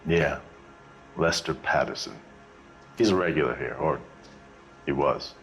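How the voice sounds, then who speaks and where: An actor playing a guarded, world-weary bartender who answers a detective in short, wry lines. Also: male voice, rumbling low, a little slow, music in the background.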